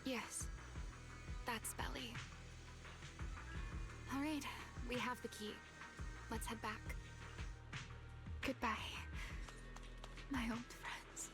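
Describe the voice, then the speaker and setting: Poised young woman, higher-pitched, over music. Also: steady tone. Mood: composed, then tender, wistful. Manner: measured, elegant, formal phrasing. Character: poised, wistful, politely teasing young woman adventurer. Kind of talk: video game voice acting.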